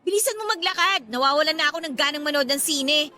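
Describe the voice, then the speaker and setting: A woman's voice: high voice, fast talker, pushed and bright. A woman playing a guarded, irritable young woman in a Tagalog radio romance drama, speaking with emotional emphasis. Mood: irritated, nagging.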